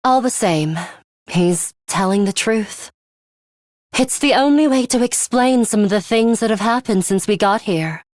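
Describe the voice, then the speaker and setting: Casting: actress as icy, bloodthirsty young woman warrior for a game, mid-pitched, composed and commanding, close dry sound. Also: no room sound, lightly acted. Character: cold, ruthless young female fighter with a bloodthirsty streak. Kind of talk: English video game voice acting.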